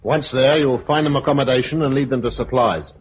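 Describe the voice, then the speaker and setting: A man's voice: mid-range voice, fairly quick, lightly acted. An actor playing a brusque, bossy man in command of a press-gang in a 1950s British radio drama, giving curt orders. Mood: brisk, bossy. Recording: muffled, hissy 1950s radio recording.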